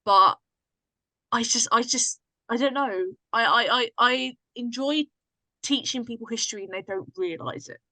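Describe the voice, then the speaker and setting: Bright-voiced woman chatting enthusiastically. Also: on the high side, moderate pace, no room sound. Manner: enthusiastic, slangy, emphatic. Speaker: podcast guest, drama writer and cast member. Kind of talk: casual chat.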